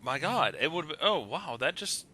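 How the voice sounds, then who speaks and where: A man with a very bright, forceful voice chats casually about movies. He speaks as an opinionated guest commentator over a voice call. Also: fairly high pitch, very animated, measured pace, husky. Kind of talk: casual opinionated film discussion. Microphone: voice call on a computer.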